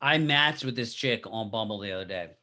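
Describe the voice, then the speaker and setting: A man around forty, a podcast co-host, chatting casually in a halting way and repeating himself. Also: on the low side, expressive, pushed and bright. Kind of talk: casual chat.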